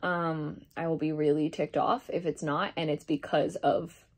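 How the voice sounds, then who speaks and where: Woman, slightly low voice, casual daily vlog chat, rambling, informal, self-correcting. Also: level tone, unhurried. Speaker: daily vlogger. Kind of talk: casual video diary chat.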